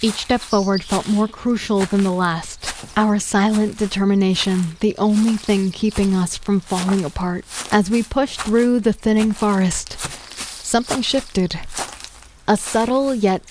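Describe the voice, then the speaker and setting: Casting: woman narrator, first-person horror, measured, grave. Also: mid-range voice, steady tone, a little mellow, a little hiss or hum, low-quality recording. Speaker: narrator and lead character.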